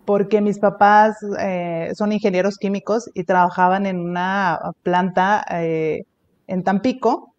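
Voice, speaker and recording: A woman's voice: mid-range voice, fairly even intonation, measured pace, darkish tone, highs cut off. A woman religious sister with a Mexican accent, chatting casually as an interview guest, with lots of fillers and easy laughter. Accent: Mexican Spanish.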